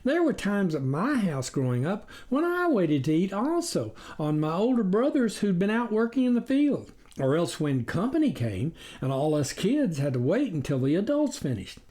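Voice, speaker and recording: A folksy storybook farmer acted out by a narrator, a gullible country man. He muses aloud in plain rustic talk, and his pitch rises and falls freely. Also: big pitch swings, normal speed, hardly any pauses, warm tone, lightly acted. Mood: musing. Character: folksy, gullible older male farmer, slow to doubt.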